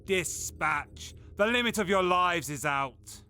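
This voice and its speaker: An actor playing a curt, merciless officer in a Shakespearean audio drama, giving commands in clipped phrases. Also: male voice, high voice, expressive, slow, sharp tone, exaggerated voice. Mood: stern.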